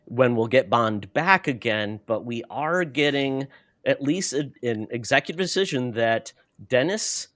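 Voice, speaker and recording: A man's voice: mid-range voice, animated, a little slow, a bit bright, no echo, studio quality. A man co-hosting a podcast, talking casually about movie news. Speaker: podcast co-host.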